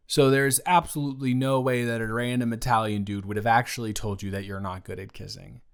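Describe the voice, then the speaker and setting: A man's voice: mid-range voice, clear, resonant tone, lightly acted. Man playing a smitten, rambling young roommate. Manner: halting, rambling, stammering, emphatic bursts. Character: smitten, awkward, playful young male roommate confessing love. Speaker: audio roleplay performer. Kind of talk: improvised romantic audio roleplay, intimate one-sided conversation.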